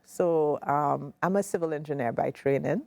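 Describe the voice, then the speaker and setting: A woman in her forties with a Caribbean accent, speaking as a guest CEO in a casual interview, measured and emphatic, repeating points for stress. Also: deep voice, creaky, darkish tone, no echo. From Grenada.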